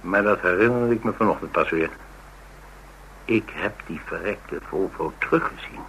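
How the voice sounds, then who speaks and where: An actor playing an inquisitive, talkative witness who recounts suspicious events wryly in a radio drama. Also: male voice, sits low, moderate pace, fairly bright tone, slight background noise, poor audio. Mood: recollecting. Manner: wry, colloquial storytelling.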